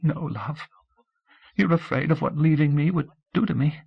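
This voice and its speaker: A man's voice: fairly high pitch, normal speed, creaky, slightly rough, highs cut off, pitched above the performer's own voice, exaggerated voice. A man reading aloud, voicing a desperate, tearful teenage girl in love, in a strained, pleading voice. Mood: anguished. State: crying.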